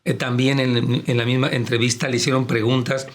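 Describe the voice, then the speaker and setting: Male pastor, Latin American Spanish accent, strong projecting voice, medium pitch, explanatory, conversational church program. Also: level tone, fairly quick, a little hiss or hum. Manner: addresses listeners as brethren, explanatory. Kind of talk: conversational religious and political commentary.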